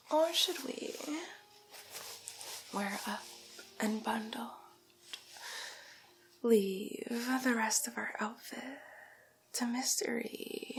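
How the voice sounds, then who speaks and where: A woman playing a haughty, vain, condescending rich villainess in a whispered ASMR roleplay, with a breathy, creaky voice and drawn-out, patronizing delivery. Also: a little high, a little hiss or hum. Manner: slow, drawn-out, theatrical, patronizing. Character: haughty, vain, condescending wealthy villainess, fur-loving fashion snob.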